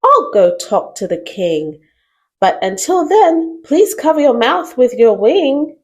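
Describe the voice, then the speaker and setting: A woman's voice: high voice, big pitch swings, slow pace, a little hiss or hum, pitched above the performer's own voice, heavily acted. Brave little village girl, read by a woman. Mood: caring.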